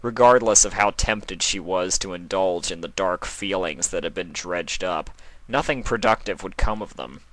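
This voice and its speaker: A man reading fan fiction aloud as narrator, in an expressive scripted storytelling style. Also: sits low, normal speed, no echo, low-quality recording.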